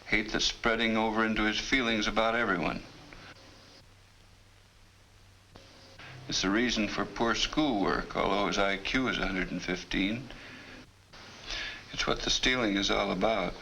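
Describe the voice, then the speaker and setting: A calm clinic psychiatrist in an old educational film. His voice is fairly low but crisp and forward, with patient, measured phrasing. The vintage soundtrack carries a light hiss. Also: rough recording. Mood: grave, analytical. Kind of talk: 1950 educational film acting.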